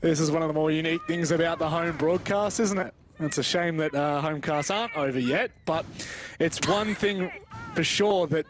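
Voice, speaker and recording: A man doing live play-by-play esports commentary in an expressive, exclamatory way with joking asides. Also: a little high, moderate pace, a little hiss or hum.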